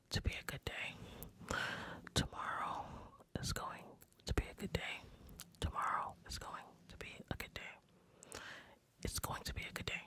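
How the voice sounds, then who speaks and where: An ASMR creator whispering guided breathing and affirmations, repeating each phrase in a reassuring way. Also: pushed and bright.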